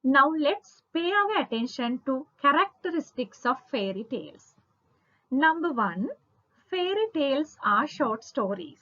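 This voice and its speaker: Woman, teacher reading a lesson, very unhurried, emphatic, animated melody, muffled lo-fi audio. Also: sits high. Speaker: English literature lecturer. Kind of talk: scripted lesson narration. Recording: dull lo-fi audio.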